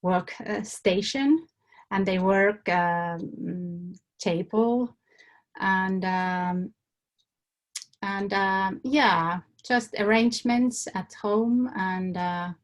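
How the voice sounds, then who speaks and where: Woman from Finland, interviewee, halting and thoughtful, unhurried delivery. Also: medium pitch. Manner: hesitant, many fillers and restarts. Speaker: survey respondent. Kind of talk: hesitant answers in an interview.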